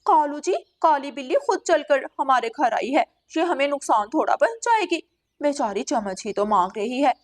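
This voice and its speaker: A woman's voice: high-pitched, lively intonation, moderate pace. A woman voicing a greedy, trusting female crow in a children's cartoon story, with a naive and eager manner. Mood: trusting, helpful. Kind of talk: children's animated story dialogue.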